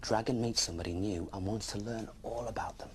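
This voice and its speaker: A man telling a bedtime story to young children, gently addressing the listener with questions. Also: fairly low pitch, a little fast, slight background noise.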